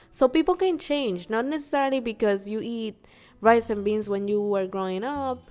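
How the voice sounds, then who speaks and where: A woman from Puerto Rico co-hosting a casual podcast chat, animated and quick to laugh, often restarting her sentences. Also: mid-range voice. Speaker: podcast co-host. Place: New York.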